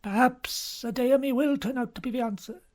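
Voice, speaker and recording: A man voicing an anxious, confiding older cardinal in an audiobook, speaking earnestly and sometimes in a hush. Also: very high-pitched, moderate pace, pitched above the performer's own voice. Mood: urgent, persuasive. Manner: fretful, earnest, hushed at times.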